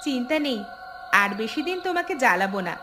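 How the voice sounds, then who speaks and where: A woman's voice: fairly high pitch, big pitch swings, fast talker, pushed and bright. A woman voicing a defiant young student, a village daughter, in a cartoon story. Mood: sly. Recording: clear music under the voice.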